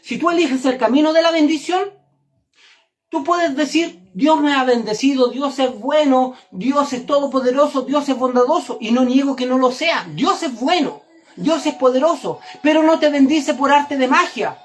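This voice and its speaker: A woman's voice: high voice, moderate pace. A woman giving a religious teaching, speaking directly to the listener in an emphatic, didactic way and repeating phrases for stress. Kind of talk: Torah and Kabbalah sermon, direct address to listener.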